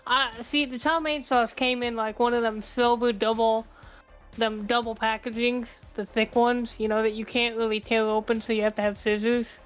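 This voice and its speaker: A woman's voice: fairly high pitch, flat delivery, normal speed, dull audio, faint music behind. A woman co-hosting a podcast, telling a rambling, profane story in a casual chat. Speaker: podcast co-host.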